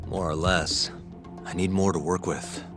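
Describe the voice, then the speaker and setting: A man's voice: low-pitched, fairly even intonation, a little slow, music in the background. A man playing a cool, persistent lawyer investigator in a video game cutscene, probing in a dry, understated way. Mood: businesslike.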